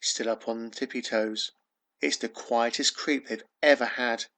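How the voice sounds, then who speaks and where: Male children's storyteller, mid-pitched, unhurried, expressive rhyming delivery with dramatic emphasis. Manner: dramatic emphasis on key words; sing-song refrain. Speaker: children's author reading own book.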